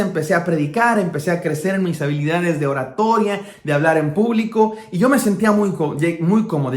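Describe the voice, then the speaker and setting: A man from Mexico with a Mexican Spanish accent, preaching an emphatic sermon as pastor. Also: on the high side. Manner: emphatic preaching, acts out quoted speakers. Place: church hall. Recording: echoey church hall.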